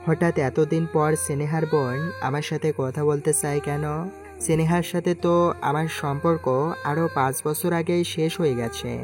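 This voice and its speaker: A man's voice: on the high side, fairly even intonation, normal speed. A man narrating a scripted first-person story, voicing its dialogue as he goes. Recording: music clearly behind the voice. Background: music.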